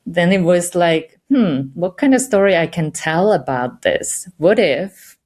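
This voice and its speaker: A woman's voice: on the low side, expressive, a little slow, darkish tone. A woman with a Finnish accent, a meditation guide speaking candidly and reflectively in an interview. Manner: reflective, candid, frequent light laughs. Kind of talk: conversational interview.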